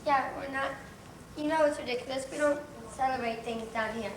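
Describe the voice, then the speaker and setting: A woman's voice: high-pitched, brisk, recorded from a distance, natural voice. A woman playing a skeptical, scoffing young fish classmate in a children's stage play. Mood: dismissive. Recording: echoey, noisy hall from afar.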